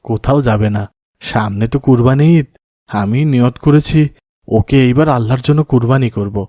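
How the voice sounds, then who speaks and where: Mellow-voiced anguished village father, acted by a man. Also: medium pitch, normal speed, no room sound, studio quality, close to an everyday voice. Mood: gentle, resolute. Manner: pleading, emotive, often invoking God. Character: anguished, devout village father of a motherless daughter. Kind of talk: melodramatic cartoon voice acting.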